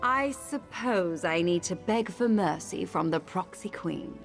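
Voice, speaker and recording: Haughty High Elf woman, swooping intonation. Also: animated, unhurried, vocal fry. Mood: grudging resignation. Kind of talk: fantasy video game dialogue. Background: background music.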